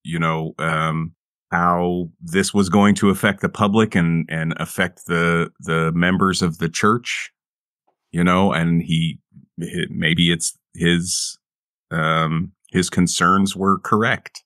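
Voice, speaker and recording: A man's voice: sits low, level tone, slow pace, a bit bright, no room sound, studio quality. A man playing a tabletop game, chatting casually about the group's moves. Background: background music.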